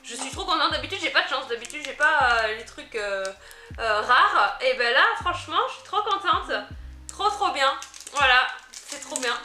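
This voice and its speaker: Woman, unboxing vlogger, chatty, giggly, sharp bright voice, faint music behind. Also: high voice, lively intonation, moderate pace, roomy sound. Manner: chatty, easily delighted, giggly. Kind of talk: casual product unboxing chat.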